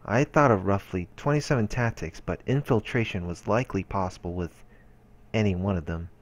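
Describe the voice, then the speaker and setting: A man's voice: low-pitched, brisk. A man reading visual novel narration aloud for a let's play.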